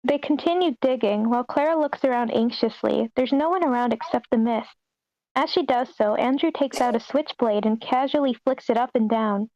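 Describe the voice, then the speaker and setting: A woman's voice: fairly high pitch, normal speed, darkish tone, no echo. A woman reading a screenplay's stage directions aloud at an online table read, with muffled meeting audio.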